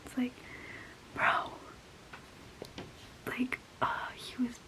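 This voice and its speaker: A woman's voice: drawn-out words, poor audio. A young woman whispering a casual vlog, venting in an exasperated, gossipy way with lots of "like" and small laughs. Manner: exasperated, gossipy, filler-heavy with frequent little laughs. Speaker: vlogger. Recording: faint hiss behind the voice.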